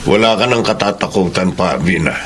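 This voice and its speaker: An actor in a Filipino radio drama playing a kind, concerned head of the household. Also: male voice, sits low, fairly quick, fairly bright tone, a little hiss or hum. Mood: reassuring. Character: kindly male head of household, concerned, generous employer.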